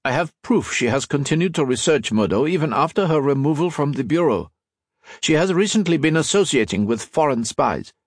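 A man's voice: a little high, animated, brisk, no room sound, studio quality, voice pushed up. A man reading as a young male spy in disguise, formal and deferential.

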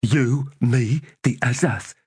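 A man's voice: medium pitch, slow pace, a little mellow, husky, no room sound, clean studio sound, pitched above the performer's own voice. A man voicing a small, fierce, defiant girl in an audiobook, speaking with intense vehemence. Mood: vehement, defiant. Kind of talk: audiobook character dialogue.

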